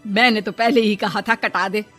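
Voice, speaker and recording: A woman's voice: medium pitch, steady tone, normal speed, a bit bright, soft background music, close to an everyday voice. A woman voicing a blunt, admonishing adult relative who advises a young girl in a cartoon. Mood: scolding, advising.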